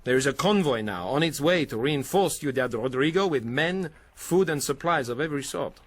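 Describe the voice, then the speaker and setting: An actor playing a genial, teasing French army captain in a radio drama, with a French accent. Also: male voice, fairly high pitch, lively intonation, moderate pace. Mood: amused exasperation.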